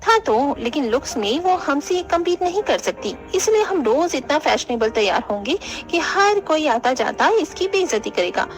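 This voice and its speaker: A woman's voice: high-pitched, sing-song, normal speed, few pauses, faint music behind, rough recording. A woman voicing a vain, mocking, fashion-obsessed young daughter-in-law in a cartoon, with an affected manner. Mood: catty.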